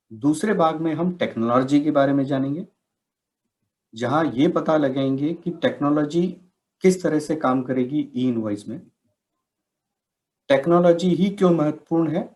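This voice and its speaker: A man giving a scripted instructional presentation as a session presenter, explaining things in measured, separate phrases. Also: mid-range voice, warm tone. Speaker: presenter of a software training session.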